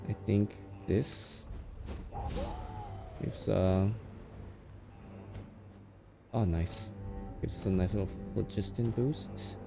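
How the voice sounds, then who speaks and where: A man's voice: on the low side, steady tone, very slow, gravelly, pauses often. A man doing casual live commentary as he streams a video game, reacting aloud as he plays. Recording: game music behind the voice. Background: video game music.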